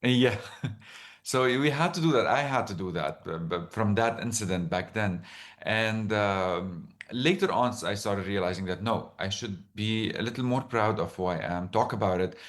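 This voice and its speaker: A man with a Lebanese accent telling personal stories as an interview guest, halting as he searches for words. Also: on the low side. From Lebanon, born in the Emirates. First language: Arabic. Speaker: interview guest, author and storyteller. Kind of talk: reflective personal storytelling in conversation.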